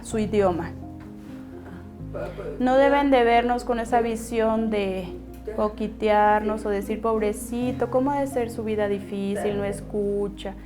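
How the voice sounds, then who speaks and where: A woman with a mid-range voice giving testimony in a documentary, speaking deliberately in short, firm phrases. Faint music plays behind her. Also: mid-range voice.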